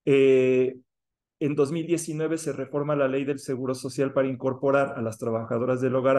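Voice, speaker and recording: A man presenting formally on a panel, measured with occasional fillers. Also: medium pitch, warm tone.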